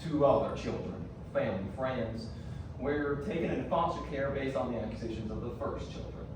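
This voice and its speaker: A man acting a grave, serious witness who recounts a case in measured explanatory stage dialogue. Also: mid-range voice, natural voice. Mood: grave explaining. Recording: echoey hall from far off, noisy.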